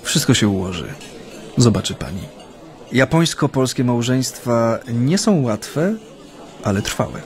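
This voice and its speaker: A man's voice: medium pitch, big pitch swings, measured pace. An actor playing a genial Japanese man reminiscing hesitantly and reflectively about his life, in a conversational radio drama. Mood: reflective, wry. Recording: studio radio play with music.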